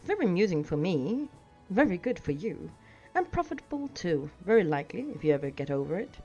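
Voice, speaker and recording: A woman's voice: fairly low pitch, sing-song, a little fast, dark tone, breathy edge, heavily acted. A woman voicing a stern, teasing old wandering wizard in a wordy, pointed storybook reading. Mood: amused, commanding. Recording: dull sound with music behind.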